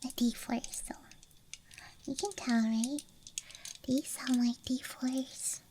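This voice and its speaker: Young female ASMR streamer, high soft voice, unhurried whispery rambling, playful, close on the mic. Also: steady tone. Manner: soft, playful, musing aloud. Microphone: close ASMR microphone. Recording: background noise, ambience with clicks. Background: night campground ambience, clicking of small objects.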